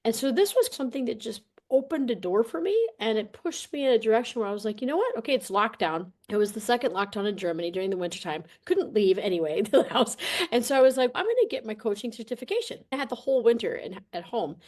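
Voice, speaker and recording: Animated woman, casual podcast guest. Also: on the high side, fast. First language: English. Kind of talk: casual interview talk, personal storytelling.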